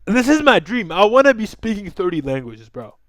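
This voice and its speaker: A man's voice: high-pitched, sing-song, fairly quick, fairly bright tone, dry recording. A young man giving casual, slangy reaction commentary in an informal way. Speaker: reaction video host.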